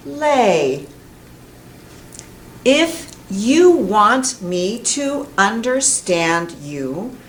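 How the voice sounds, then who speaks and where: Middle-aged American female teacher, extremely slow and emphatic delivery, precise enunciation, some room noise on a camera mic. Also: medium pitch. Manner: deliberate, emphatic, carefully enunciated. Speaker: English pronunciation teacher. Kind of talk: instructional lesson to camera. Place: indoor classroom in front of a whiteboard.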